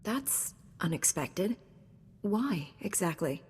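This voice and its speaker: Composed space knight in reverb. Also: female voice, medium pitch, very animated, takes it slowly, a little mellow, husky, full character voice. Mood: tactful, measured. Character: composed, kindly female Jedi, dryly tactful leader. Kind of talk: scripted video game acting.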